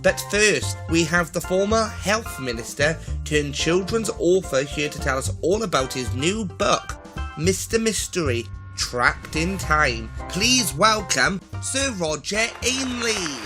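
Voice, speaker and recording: A man's voice: high-pitched, expressive, slow pace, music in the background. A man playing a camp, cheeky TV chat-show host in an audio drama, with an effusive showbiz delivery. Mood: gushing.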